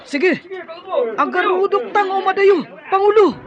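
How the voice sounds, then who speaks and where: A woman's voice: very high voice, lively intonation, unhurried, lo-fi sound, faint music behind. A woman playing a loyal young tribeswoman warrior in an Ilocano-accented radio drama, speaking earnestly and dramatically. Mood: urgent. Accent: Filipino, Ilocano.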